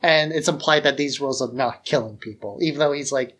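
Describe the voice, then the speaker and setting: A man's voice: medium pitch, brisk, a bit bright. Casual, informal man chatting about movies. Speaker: podcast co-host. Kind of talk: casual film discussion.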